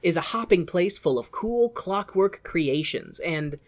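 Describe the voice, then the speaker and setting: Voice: medium pitch, expressive, unhurried. A podcast co-host giving a casual, jokey, irreverent retelling of a film.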